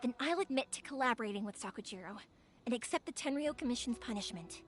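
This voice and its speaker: A woman's voice: fairly high pitch, expressive, quick pace, faint music behind, close to an everyday voice. A woman voicing a spirited, headstrong young fireworks maker in a video game, emphatic and impassioned. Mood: defiant, resolute. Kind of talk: English video game voice acting.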